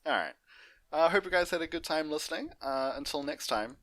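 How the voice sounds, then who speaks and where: A man's voice: fairly high pitch, animated, a little fast, a bit bright, no echo. A man narrating and hosting an unedited fan fiction story reading.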